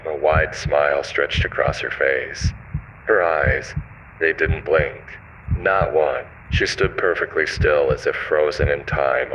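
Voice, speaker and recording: A man with an extremely low, bottomed-out voice narrates a scripted horror tale. His delivery is measured and ominous, told in the first person. The audio is muffled and lo-fi, with faint radio-like noise behind him. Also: fairly bright tone.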